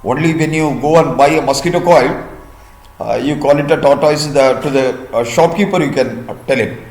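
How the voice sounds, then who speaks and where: A man with an Indian accent telling a folk tale to listeners in a deliberate, teacherly way with pauses. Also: a little high, darkish tone. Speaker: storyteller and host. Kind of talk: storytelling of a folk tale for listeners learning vocabulary. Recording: echoey room.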